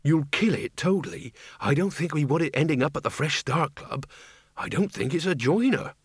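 A man's voice: a little high, expressive, normal speed, husky, no room sound, clean studio sound, pitched above the performer's own voice. A man voicing an earnest, loyal undead activist in an audiobook, plain-spoken. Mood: doubtful.

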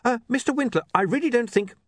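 A harried young man running a newspaper, sarcastic and flustered, as a man voices him. His raised voice swings widely in pitch. The recording is close and dry. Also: lively intonation, quick pace, no room sound. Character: young male newspaper editor, flustered and exasperated.

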